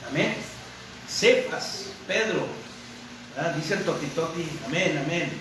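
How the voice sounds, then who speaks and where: A man's voice: fairly high pitch, slow. A man preaching a sermon as a pastor, emphatic and repetitive, punctuating his points with amens and rhetorical questions. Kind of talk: sermon, expository preaching with Bible readings. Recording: very echoey room, distant mic, background noise.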